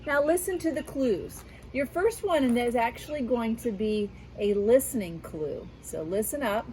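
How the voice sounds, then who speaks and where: A woman's voice: a little high, animated, a little slow, background noise. Teacher lady doing a storytime read aloud for little kids, patient, talks right to them, takes her time. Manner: patient, teacherly, speaks directly to children. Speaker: school media specialist. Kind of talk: children's read-aloud lesson. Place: outdoors on a back porch.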